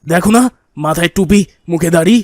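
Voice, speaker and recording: A man's voice: on the high side, fairly quick, a little mellow, dry recording, studio quality, close to an everyday voice. A man voicing a poor old cartoon villager, a petty thief with rustic, colloquial Bangladeshi Bengali and a plaintive manner. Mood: defensive, indignant. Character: poor old man, shoe thief in pious dress, defensive then pleading. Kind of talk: cartoon voice acting.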